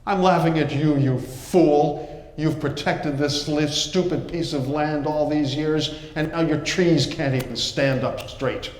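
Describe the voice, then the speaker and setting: Storyteller voicing a scornful rival landowner; loud, mocking, in a reverberant hall. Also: male voice, on the high side, fairly even intonation, a little slow, close to an everyday voice. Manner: scornful, laughing. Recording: echoey hall with long reverb.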